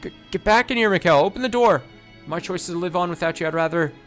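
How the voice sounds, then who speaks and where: A man's voice: high-pitched, fast, a bit bright, faint music behind, pitched above the performer's own voice. A man voicing a desperate young woman who pleads frantically. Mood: frantic.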